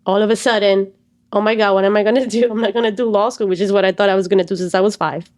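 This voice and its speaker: A woman's voice: mid-range voice, fairly even intonation, very fast, darkish tone. Cuban-born woman coach, earnest. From Cuba. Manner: earnest, explains at length with fillers. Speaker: stress and anxiety coach, guest. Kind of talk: reflective interview talk.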